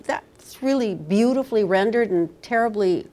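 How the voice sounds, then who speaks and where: A woman's voice: mid-range voice, expressive, normal speed, mellow. A middle-aged woman moderating an on-stage conversation, putting questions in loose, searching phrases with asides. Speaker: interviewer, moderator. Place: on stage in an auditorium before an audience.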